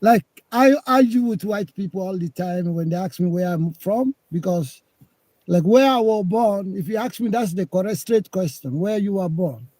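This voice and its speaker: A man's voice: sits high, expressive, normal speed, a little mellow, no room sound. A man from Leone, calling into a live discussion and arguing his point, repeating himself. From Sierra Leone. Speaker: caller.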